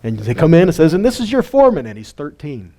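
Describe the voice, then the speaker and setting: A man's voice: on the high side, wildly swooping pitch, quick pace, dark tone. A middle-aged man teaches scripture in a folksy way, asking his listeners questions and chuckling often. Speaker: Bible teacher. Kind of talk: casual Bible teaching.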